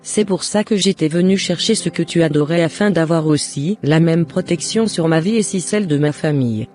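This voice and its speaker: Man voicing a high-pitched jealous neighbour woman. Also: a little fast, darkish tone, soft background music, lightly acted. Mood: remorseful confession. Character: jealous, scheming woman neighbour turned remorseful.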